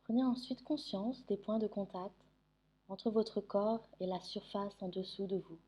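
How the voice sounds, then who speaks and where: Very mellow woman guiding sleep meditation. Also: medium pitch. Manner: soothing, gentle instruction with long pauses. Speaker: yoga nidra meditation guide.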